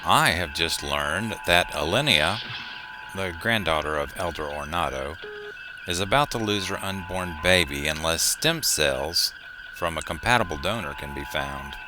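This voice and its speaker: A man playing a steady, commanding starship captain in a sci-fi audio drama. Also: low-pitched, measured pace, background noise. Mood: composed, authoritative.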